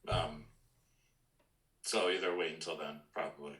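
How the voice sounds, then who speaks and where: Deep, bright-edged man in a roomy space. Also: steady tone, a little slow. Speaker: podcast co-host. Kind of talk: casual video game discussion. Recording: roomy echo.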